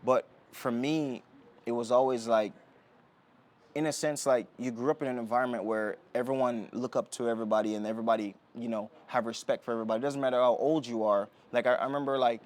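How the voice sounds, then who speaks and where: A young man from Jamaica talking as a podcast guest, relaxed and rambling with many fillers and restarts. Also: medium pitch, fast talker. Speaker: guest, Olympic sprinter. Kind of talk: casual interview talk. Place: indoor podcast setting.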